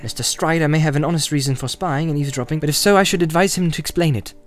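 A man's voice: on the high side, lively intonation, quick pace, faint music behind, voice pushed up, close to an everyday voice. A man voicing a small, wary, anxious male hobbit who is polite but testy and questioning, in an audiobook. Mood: angry, suspicious.

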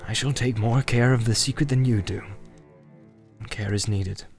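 A man voicing a grim, wary, secretive wandering ranger with a deliberate, cautioning and wry manner in an audiobook. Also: medium pitch, fairly even intonation, gravelly, faint music behind, lightly acted. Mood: earnest, reassuring.

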